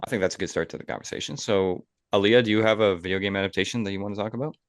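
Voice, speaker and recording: A man's voice: on the low side, very fast, no room sound, clean studio sound. A man co-hosting a podcast in casual, opinionated conversation. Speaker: podcast co-host.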